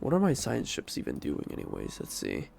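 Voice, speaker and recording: Gravelly-voiced man with a stuffy nose. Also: on the low side, wildly swooping pitch, brisk, darkish tone. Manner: thinking aloud, rambling, self-correcting. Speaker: game streamer. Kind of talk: casual gameplay commentary.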